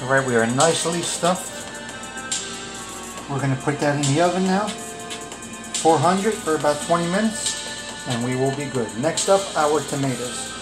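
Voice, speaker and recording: A fairly high-voiced man talks his way through a home cooking prep, listing ingredients in a loose, chatty way with plenty of "uh"s. He films on a phone in his kitchen while music plays clearly behind him. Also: expressive, measured pace, long pauses. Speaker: home cook vlogger. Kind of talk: casual cooking walkthrough.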